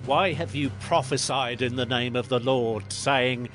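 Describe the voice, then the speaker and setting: A man's voice: mid-range voice, unhurried, a bit bright, soft background music, close to an everyday voice. A man playing a hostile, condemning priest in a dramatized scripture reading. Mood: accusing.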